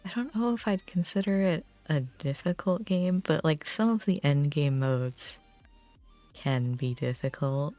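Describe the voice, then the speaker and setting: Woman, casual game stream chat, low pitch, slow pace, thinking aloud, faint music behind. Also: lively intonation, dark tone. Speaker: game livestreamer.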